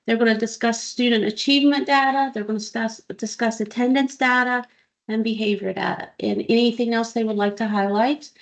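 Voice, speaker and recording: A woman leading a school board meeting in a public meeting room. She reads in a measured, deliberate way with a slightly high voice, and sounds friendly as she introduces the next speaker. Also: steady tone. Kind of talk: reading a mission statement and introducing speakers.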